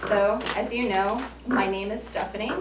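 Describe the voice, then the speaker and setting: A woman's voice: medium pitch, fairly even intonation, moderate pace, very noisy. Young woman in her twenties giving a jokey self-deprecating club speech, roomy echo, mic far off. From United States. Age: 20s. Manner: self-deprecating, light joking asides. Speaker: icebreaker speaker at a speaking club. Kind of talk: prepared humorous speech. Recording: echoey room from far off.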